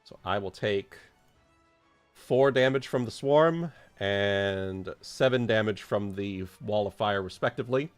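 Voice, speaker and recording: A man's voice: fairly low pitch, a little slow, smooth, faint music behind. A man chatting casually as a player in a tabletop fantasy game, explaining his moves and rules.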